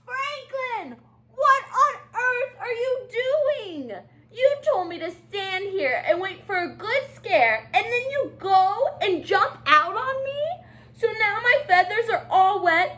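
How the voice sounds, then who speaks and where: Very high-pitched, whiny little penguin. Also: female voice, lively intonation, takes it slowly, bright, forceful tone, heavily acted. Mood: dismayed, whiny. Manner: childlike, whiny, exaggerated. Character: small, anxious young penguin with hiccups, dramatic and pleading. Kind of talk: children's storybook read-aloud.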